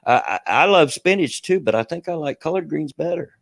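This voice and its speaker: A man's voice: on the high side, expressive, a little fast, creaky, dry recording, studio quality. A middle-aged man with a Kentucky and Tennessee redneck accent, hosting a casual livestream and rambling with a self-deprecating laugh. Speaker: livestream host.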